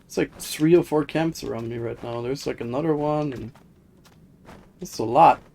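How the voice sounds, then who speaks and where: A man's voice: on the high side, measured pace, vocal fry, warm tone, slight background noise. A man giving casual, rambling live commentary on a video game, thinking aloud in a self-deprecating way. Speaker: game streamer.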